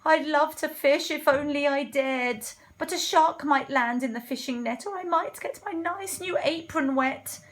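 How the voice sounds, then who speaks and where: A woman voicing a timid, panicky male cook from a children's storybook, with drawn-out fearful wails. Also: high-pitched, pitched above the performer's own voice. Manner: wailing, drawn-out fearful cries. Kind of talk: children's storybook read-aloud.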